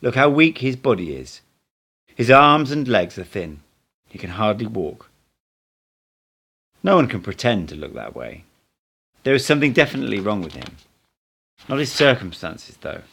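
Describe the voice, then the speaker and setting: A man's voice: on the low side, sing-song, normal speed, vocal fry, pauses often, a bit bright, breathy edge, exaggerated voice. A man reading the part of an assured male teacher, speaking in a didactic, emphatic way in an audiobook. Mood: firm, critical. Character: Don Juan, assured male teacher, instructing and correcting.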